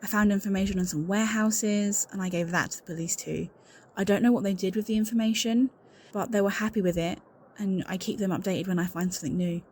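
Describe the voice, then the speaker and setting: A woman's voice: medium pitch, fairly even intonation, quick pace, a little mellow, a little hiss or hum, lightly acted. A woman playing an anxious, suspicious secretary in an audio roleplay, speaking in an earnest, confiding and insistent way. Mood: pleading. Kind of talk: scripted audio roleplay acting, one-sided conversation.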